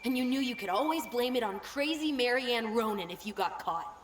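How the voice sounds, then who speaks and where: A woman's voice: fairly high pitch, expressive, a little fast, reverberant, a little hiss or hum, natural voice. An actress playing an indignant, unforgiving young woman in a video game drama, confrontational and pointed. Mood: cold, stern. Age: young adult.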